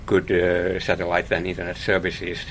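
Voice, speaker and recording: Guy, really low voice, halting, repeats himself, faint hiss behind. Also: completely flat delivery, a bit bright. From Finland. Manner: hesitant, repeats words, laughs lightly. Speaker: radio listener guest. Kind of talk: casual radio interview.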